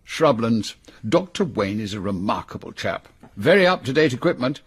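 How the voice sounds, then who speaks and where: An actor with a British accent playing an older, bossy spy chief full of crankish zeal in a radio drama, husky and brusque. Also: male voice, mid-range voice, sing-song, normal speed. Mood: zealous, commanding. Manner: brusque, commanding, pontificating.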